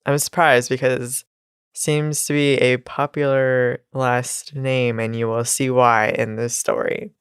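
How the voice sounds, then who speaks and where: A woman's voice: extremely low pitch, level tone, takes it slowly, smooth, no room sound, clean studio sound. A nasal, congested woman telling a true crime story on a casual podcast, with laughs and asides. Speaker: podcast co-host.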